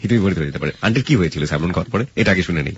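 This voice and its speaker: A man's voice: low voice, animated, rapid-fire, highs cut off, a little hiss or hum. A man hosting a conversational radio interview, often interjecting and slipping in English phrases. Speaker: radio show host.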